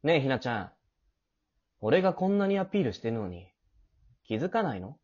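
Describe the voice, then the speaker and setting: A woman playing a clingy, teasing, possessive teenage boy in love, with a husky, breathy, languid voice, in an amateur romantic audio drama. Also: rumbling low, big pitch swings, brisk, a little mellow, heavily acted. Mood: sulky, probing. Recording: dull, lo-fi home recording.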